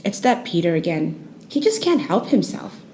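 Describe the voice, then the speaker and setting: A woman's voice: fairly low pitch, sing-song, moderate pace, dark tone, echoey room, slight background noise. A woman voicing a weary, exasperated villager grumbling in a children's storybook. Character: weary, exasperated village elders grumbling about a troublesome boy.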